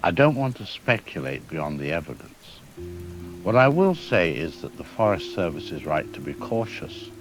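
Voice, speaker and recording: A man playing a careful, deliberate wildlife expert doctor interviewed on the news in an audio drama. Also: low voice, big pitch swings, music in the background, low-quality recording. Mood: measured concern. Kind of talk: broadcast interview acting.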